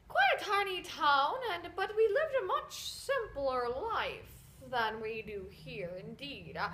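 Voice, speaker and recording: Woman playing an elderly grandmother, forgetful and rambling, high and lively. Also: exaggerated voice. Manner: rambling, full of hesitations. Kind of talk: amateur skit acting.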